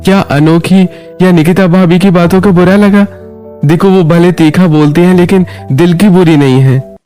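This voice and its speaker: A man voicing a gentle, concerned young husband in a Hindi moral story, reassuring and considerate. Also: high-pitched, fairly even intonation, normal speed, clear, resonant tone, faint music behind, close to an everyday voice.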